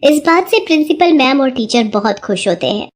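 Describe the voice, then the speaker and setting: A woman narrating a children's moral story in an even storybook manner. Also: high-pitched, normal speed.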